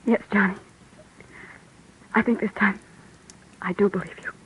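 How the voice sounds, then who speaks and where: An actress playing a frightened young woman pleading with her man, soft and imploring, in 1940s radio acting. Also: mid-range voice, fairly even intonation, unhurried, lightly acted. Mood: weary. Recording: dull noisy old radio recording.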